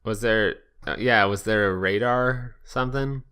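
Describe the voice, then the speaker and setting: Amused man with a bright, forceful voice. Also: fairly low pitch, a little slow, smooth. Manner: amused, laughs through reading, crude joking. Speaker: podcast co-host. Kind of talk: casual comedic reading with banter.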